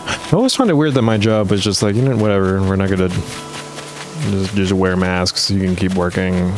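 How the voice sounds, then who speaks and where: A young man with a very deep voice that sounds worn and a little rough, chatting loosely while he plays. He rambles, trails off and restarts often. Clear game music plays underneath him. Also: moderate pace. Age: young adult. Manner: rambling, many fillers and restarts. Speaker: gaming video host. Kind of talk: casual gameplay commentary.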